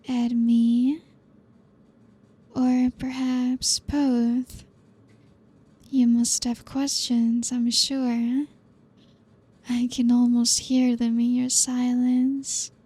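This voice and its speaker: A young woman voicing a teasing, possessive aristocratic vampire in an ASMR roleplay, speaking slowly and softly with hushed whispers. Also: fairly high pitch, slightly rough, full character voice.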